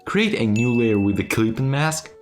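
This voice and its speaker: A man's voice: mid-range voice, sing-song, moderate pace, over music. A man narrating a tongue-in-cheek video tutorial in a playful, mock-serious, emphatic way. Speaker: video creator giving sarcastic game-making tips.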